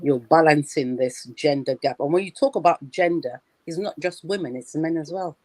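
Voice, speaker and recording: A woman's voice: low-pitched, moderate pace, darkish tone, no room sound. A woman in her sixties, a lawyer on a discussion panel, speaking in a firm and assertive way. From Britain.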